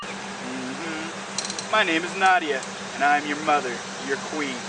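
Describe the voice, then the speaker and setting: A man's voice: a little high, slow pace. A man reading a comic story aloud with casual, amused banter, often cracking up as he goes. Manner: breaks into laughter while reading. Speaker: co-host reading a mad-libs story aloud. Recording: very noisy, distant mic, steady background hum.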